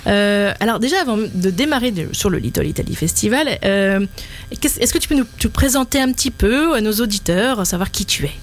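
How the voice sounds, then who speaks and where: A woman hosting a radio interview, friendly, filling her pauses with short hesitations. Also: mid-range voice, expressive. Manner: friendly, fills pauses with euh. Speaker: radio host.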